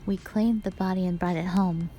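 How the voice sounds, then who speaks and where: A woman playing a wistful, scheming yet playful witch sister in an audio roleplay, speaking softly and reflectively to the listener. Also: fairly low pitch, fairly even intonation, normal speed, a little hiss or hum, lightly acted. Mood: wistful, solemn.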